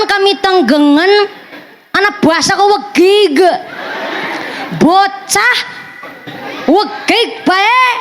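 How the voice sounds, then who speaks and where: A woman preacher with a Banyumasan Javanese accent giving an animated, joking sermon, switching between Javanese and Indonesian. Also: very high voice, a little hiss or hum. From Central Java. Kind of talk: religious sermon.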